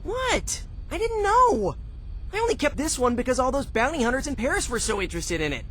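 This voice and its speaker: A man's voice: extremely high pitch, sing-song, fairly quick, a bit bright, slight background noise, heavily acted. An actor playing a brash, impulsive young male hero in a video game cutscene. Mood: eager. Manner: eager, impulsive.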